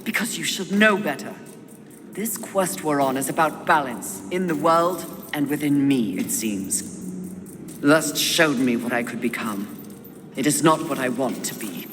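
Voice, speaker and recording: A woman's voice: sits low, animated, noisy background. A woman playing a proud, defiant warrior horsewoman in a video game, with a stern, curt delivery. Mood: brooding. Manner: stern, clipped, scornful, deliberate. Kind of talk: dramatic video game cutscene acting. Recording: long reverb, music behind voice.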